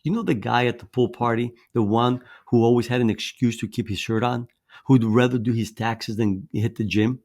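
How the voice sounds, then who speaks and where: A man's voice: mid-range voice, steady tone, normal speed, a little mellow. Greek-raised man giving a motivational talk. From Greece. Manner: persuasive, storytelling, with occasional restarts. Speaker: fitness coach presenter. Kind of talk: motivational presentation.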